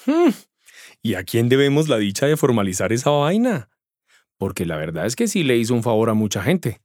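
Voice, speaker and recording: A man's voice: medium pitch, huge pitch swings, moderate pace, a little mellow, no room sound, clean studio sound. A man with a Colombian accent playing a curious, folksy neighbor who asks about migration rights in slangy everyday speech. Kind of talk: scripted radio drama dialogue.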